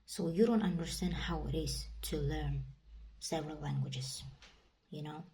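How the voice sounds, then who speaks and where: A woman's voice: low-pitched, a little slow, gravelly, mellow, a little hiss or hum. A young woman with a Kazakh accent, native Kazakh speaker, chatting casually to camera in a rambling, repetitive way full of fillers. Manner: rambling and repetitive, many "you know" and "like" fillers. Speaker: vlogger. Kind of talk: casual vlog monologue.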